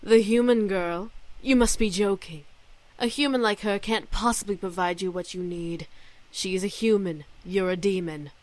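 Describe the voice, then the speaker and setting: A woman's voice: medium pitch, moderate pace, no echo, lightly acted. A woman playing a haughty demon noblewoman in a visual novel game, with an imperious, mocking, theatrical delivery. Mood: scornful. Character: haughty female demon noble, scorned fiancée and would-be queen.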